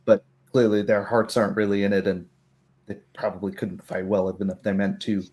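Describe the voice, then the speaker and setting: A man narrating a tabletop roleplay game as game master, telling it in a measured, descriptive way. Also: lowish voice, level tone, darkish tone.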